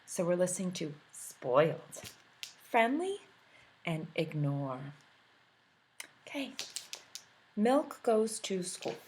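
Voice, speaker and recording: Expressive woman reading a picture book aloud. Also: fairly low pitch, slow, pauses often, slight background noise, rough recording. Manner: expressive and animated, asking the listeners questions. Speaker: teacher.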